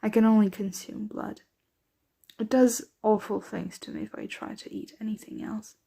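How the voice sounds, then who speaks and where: A woman playing a centuries-old, doting, aristocratic vampire mistress in an ASMR roleplay, speaking softly, tenderly and without hurry, often slipping into whispers. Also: mid-range voice, steady tone, gravelly, husky. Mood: attentive, tender. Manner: soft, unhurried, tender, often drifting into whispers.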